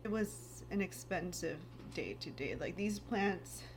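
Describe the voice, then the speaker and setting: A woman in her thirties chatting casually at home in a rambling vlog, full of "like" fillers, her throat a little raspy. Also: lowish voice, big pitch swings, unhurried, a little hiss or hum. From United States. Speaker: lifestyle vlogger. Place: home, indoors.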